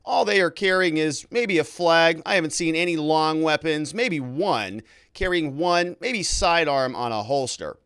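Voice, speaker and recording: A man hosting legal commentary, reading from a report in an animated, emphatic way with informal asides. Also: on the high side, unhurried, few pauses, clear, resonant tone, dry recording, studio quality. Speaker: legal commentator and show host. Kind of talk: news commentary, reading a report aloud and paraphrasing it.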